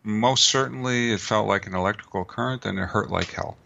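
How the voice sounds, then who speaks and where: A middle-aged man gives long, wandering interview answers, full of fillers and restarts. His low voice has a gravelly fry and a bright, forceful edge. The audio is dull and lo-fi, like a remote call. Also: fairly quick. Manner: rambling, many restarts and fillers. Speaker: guest author and martial artist.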